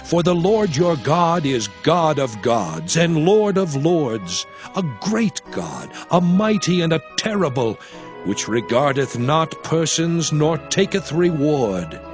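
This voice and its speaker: A man playing a solemn prophet who exhorts his people in a measured, declamatory delivery for a dramatized scripture recording. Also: fairly high pitch, very animated, soft background music.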